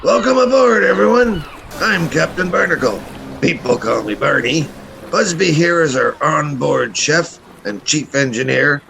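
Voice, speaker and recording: An actor playing a jovial, welcoming lobster boat captain in a radio play. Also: male voice, on the high side, a little slow, gravelly, sharp tone, full character voice, rough recording. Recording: faint background noise.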